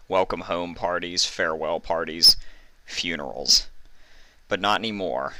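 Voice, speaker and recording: A man narrates fan fiction from a script. His voice is deep yet very bright and forceful, recorded dry and close. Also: no room sound.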